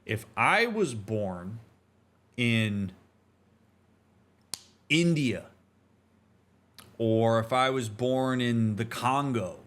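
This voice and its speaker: A man's voice: medium pitch, lively intonation, drawn-out words, long pauses, a bit bright. A man streaming a game, giving reflective commentary in an earnest, emphatic, explanatory and conversational manner. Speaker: streamer, therapist host.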